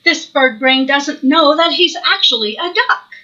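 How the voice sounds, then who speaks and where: A woman's voice: high-pitched, lively intonation, a little slow, bright, forceful tone, muffled recording, full character voice. A woman voicing a fussy, indignant female goose from a children's storybook, scolding and flustered.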